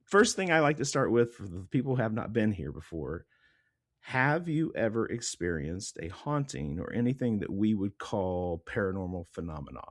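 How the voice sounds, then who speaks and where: A man's voice: lowish voice, big pitch swings, normal speed, no echo, clean studio sound. A man hosting a casual interview podcast in an informal way, his voice stuffy and congested. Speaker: podcast host.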